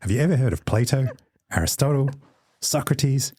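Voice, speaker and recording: A smug, boastful movie mastermind sneering with scorn, as a man puts him on while quoting a film. The pitch swings widely and rises above his own voice. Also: lively intonation, moderate pace, mellow, breathy edge, no echo. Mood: scornful. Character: arrogant boastful male movie genius.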